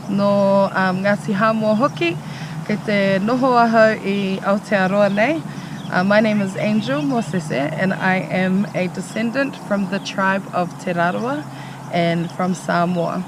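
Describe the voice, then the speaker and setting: A young man with a high voice speaks earnestly, pausing often with ums. He is recorded outdoors in a city, with clear traffic and street noise behind him. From Australia. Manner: earnest, hesitant, many ums. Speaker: interviewee. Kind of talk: casual personal account.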